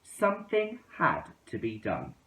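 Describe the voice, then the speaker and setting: Male children's storyteller, unhurried and highly melodic, expressive read-aloud delivery. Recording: roomy, echoing indoor sound.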